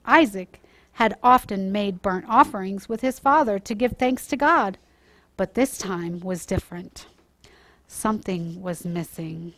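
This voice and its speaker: A woman telling a Bible story to children, explaining it simply and deliberately as a church storyteller. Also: medium pitch, expressive, fairly bright tone, no echo. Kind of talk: read-aloud children's story with informal moral teaching.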